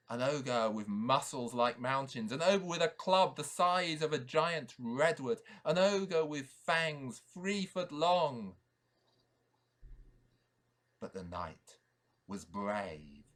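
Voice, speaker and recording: A male priest tells a fairy tale at a very unhurried pace. He is dramatic and deliberate, leaning on repeated phrases as a storyteller does. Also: a little high. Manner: dramatic, repetitive, deliberate emphasis.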